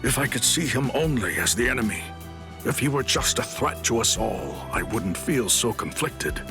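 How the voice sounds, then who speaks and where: An actor playing an honorable, loyal warrior captain in an anime game, speaking in a grave, formal, earnest and sorrowful way. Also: male voice, mid-range voice, sing-song, normal speed, music in the background. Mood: conflicted, sorrowful. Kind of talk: scripted anime game cutscene dialogue.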